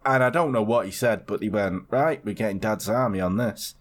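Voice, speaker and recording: A man's voice: on the low side, brisk. A man co-hosting a casual podcast chat, telling a story in a rambling way with lots of swearing and restarts. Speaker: podcast co-host.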